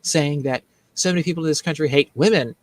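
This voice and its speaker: A man's voice: fairly high pitch, quick pace, no room sound. A man hosting a political commentary show in a casual, joking way, rambling and repeating himself as he mocks. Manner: rambling, repetitive, mocking.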